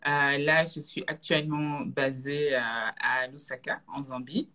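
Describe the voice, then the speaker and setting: A woman in her thirties from Belgium, a guest on a live video chat, talking casually with many pauses and restarts. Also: very deep voice, fairly even intonation, bright, forceful tone. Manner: hesitant, many fillers and restarts. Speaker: guest, entrepreneur. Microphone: video call.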